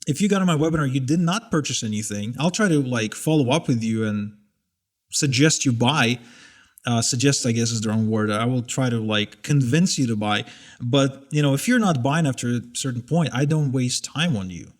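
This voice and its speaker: A man in his thirties tells stories in relaxed conversation. His pitch sits at a middling level. He is frank and self-deprecating, and he laughs at his own early blunders. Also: normal speed. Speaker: interviewee sharing business mistakes. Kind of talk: casual conversation.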